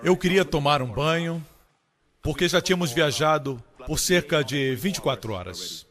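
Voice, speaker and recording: A man's voice: expressive, moderate pace, a bit bright. Emphatic preaching man in a reverberant hall. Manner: emphatic preaching, rising high on key phrases. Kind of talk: Portuguese-dubbed sermon.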